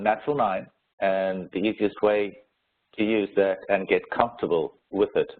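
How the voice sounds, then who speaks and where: A man with a stuffy head cold, presenting a webinar in a relaxed, conversational way with fillers. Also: fairly low pitch. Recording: tinny phone-line webcast audio.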